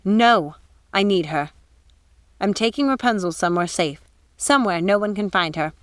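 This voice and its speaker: A woman's voice: mid-range voice, normal speed, no echo, close to an everyday voice. A woman voicing a cunning witch who fakes sweetness and concern. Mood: scheming.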